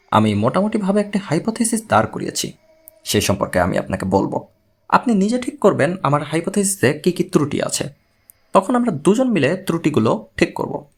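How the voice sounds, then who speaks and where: Man reading as patient analytical investigator, husky, animated. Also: high-pitched, heavily acted. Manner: measured, explanatory, questioning. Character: analytical, patient male investigator explaining his theory.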